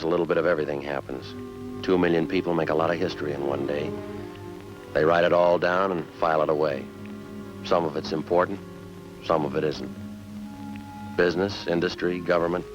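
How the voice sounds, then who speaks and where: An actor with an American accent playing a terse, no-nonsense police detective in a 1950s radio crime drama, clipped and deadpan. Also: male voice, deep voice, muffled recording, close to an everyday voice. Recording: band-limited old radio recording, loud music.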